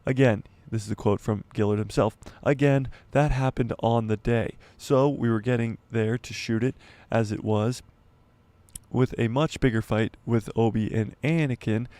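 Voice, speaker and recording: A man hosting a casual livestream, his voice hoarse and fading. He reads an article aloud in a dark, mellow tone, tripping over words and correcting himself. The sound is dry and close, with no room around it. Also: medium pitch, no echo. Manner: rambling, stumbles and self-corrects, laughs at himself. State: losing his voice, clearing throat. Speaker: YouTube livestream host.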